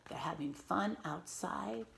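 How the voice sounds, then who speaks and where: A woman reading a picture book aloud to children as a teacher, expressively and patiently, pausing to explain and ask questions. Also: fairly low pitch, gravelly, fairly bright tone, slight background noise. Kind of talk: animated read-aloud with simple explanatory asides.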